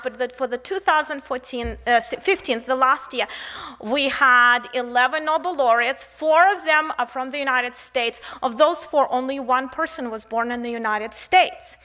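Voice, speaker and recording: High, bright-voiced woman with a Russian accent. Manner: conversational, uses rhetorical questions and tag "right?". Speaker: researcher presenting at a conference. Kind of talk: conference lecture.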